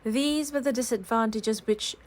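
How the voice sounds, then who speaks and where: Higher-pitched woman reading a classic novel aloud. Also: moderate pace, slight background noise. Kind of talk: scripted literary read-aloud, cozy and calm.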